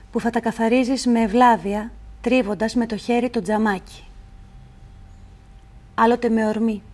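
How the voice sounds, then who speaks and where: A woman reciting literary poetry in a measured, deliberate way, with pauses between phrases. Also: on the high side, a little mellow. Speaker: poetry reader.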